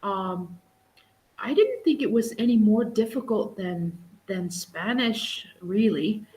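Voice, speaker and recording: A woman's voice: mid-range voice, animated, slow, a little mellow. A middle-aged woman speaks as a live-stream guest over a video call. Her voice sits mid-range, and her talk is easy and chatty. She often restarts sentences and fills gaps with "you know". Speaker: guest, English teacher and exam prep tutor. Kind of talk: casual live-stream conversation.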